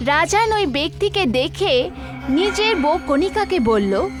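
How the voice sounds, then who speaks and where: A woman with a very high voice narrates a scripted horror story. Soft music plays underneath. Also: sing-song, takes it slowly.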